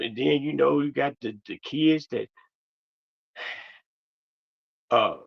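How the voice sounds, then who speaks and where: A man who is losing his voice hosts a loose commentary monologue, his gravelly, worn tone drifting from one half-finished thought to the next. The sound is dull and lo-fi, like a home setup. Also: medium pitch. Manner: rambling, meandering, trails off mid-sentence. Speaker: commentary host.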